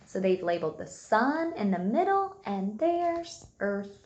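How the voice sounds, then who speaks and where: Woman, early grades teacher, unhurried pace, deliberate enunciation, read-aloud lesson, roomy echo. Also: medium pitch, big pitch swings, a little mellow. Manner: simple, instructional, enunciates key words deliberately. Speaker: early elementary school teacher. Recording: echoey room sound.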